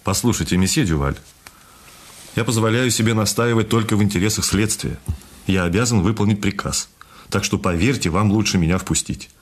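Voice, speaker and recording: An actor playing a polite but persistent police officer in a radio drama, speaking formally. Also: male voice, lowish voice, a little fast. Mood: polite, insistent.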